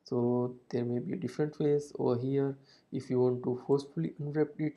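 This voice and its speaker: A man's voice: mid-range voice, steady tone, normal speed, dark tone, lo-fi sound. A man whose first language is Hindi, explaining code step by step in a screen-recorded programming tutorial, with frequent "uh" fillers and non-native phrasing.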